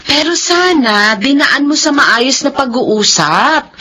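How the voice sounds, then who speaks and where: A woman's voice: sits high, lively intonation, slow pace, bright, forceful tone, full character voice. A Filipina actress playing a conscientious wife and mother in a radio drama, earnest, emotional and reproachful.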